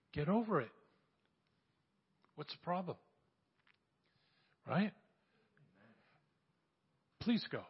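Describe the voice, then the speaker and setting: Man, 60s, husky church speaker, urgent exhortations, lo-fi muffled recording. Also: mid-range voice. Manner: animated, exhorting, repeats phrases. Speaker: church speaker sharing mission testimony. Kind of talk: sermon testimony.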